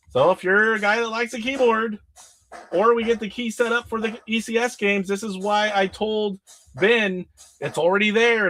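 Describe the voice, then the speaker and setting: A man's voice: sits high, sharp tone, poor audio. A middle-aged man hosting a casual live stream about retro games, sick and nasally with his voice starting to go. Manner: rambling, demonstrating games live. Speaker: retro gaming show host.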